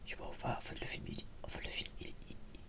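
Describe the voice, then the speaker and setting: A man whispers a casual ASMR film review in a hesitant, rambling, informal way. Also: racing. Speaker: video creator giving a film review.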